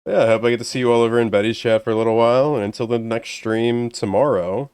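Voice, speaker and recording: A young man with a deeper voice chatting casually with viewers as he ends a live stream, sounding tired and strained, relaxed and rambling. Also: fast, clear, resonant tone, no echo. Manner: relaxed, rambling, self-deprecating.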